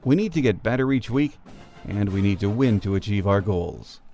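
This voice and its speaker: Lower-voiced male sports podcast host. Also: animated, moderate pace, mellow. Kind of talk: scripted show introduction and commentary.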